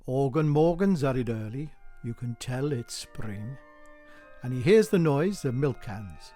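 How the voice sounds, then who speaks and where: A man narrating a poetic radio play in an expressive storytelling manner. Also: medium pitch, unhurried, soft background music. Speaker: amateur reader and narrator. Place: dry home recording.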